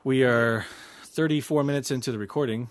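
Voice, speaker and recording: A man's voice: medium pitch, brisk. A man hosting a podcast in casual, playful chat, teasing his co-host and laughing easily.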